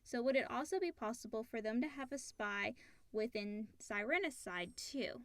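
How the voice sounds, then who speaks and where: Woman, podcast co-host, fairly high voice, casual delivery, dry close sound. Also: animated, normal speed, no echo. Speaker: podcast co-host reading listener questions. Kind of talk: casual chat and reading out questions.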